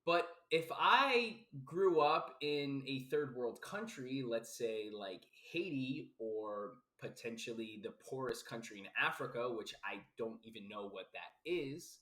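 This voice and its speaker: A man's voice: medium pitch, expressive, measured pace, hardly any pauses, pushed and bright. Emphatic life coach man, roomy sound. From South Florida, US. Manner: emphatic and repetitive, casual swearing, many fillers. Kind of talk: casual philosophical podcast monologue. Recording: roomy echo.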